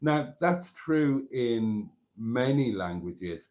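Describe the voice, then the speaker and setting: Welsh man, native Welsh speaker, very unhurried, live stream host, meandering talk. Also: mid-range voice, animated, darkish tone. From Wales. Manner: musing, meandering, with many fillers and restarts. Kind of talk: casual live stream chat.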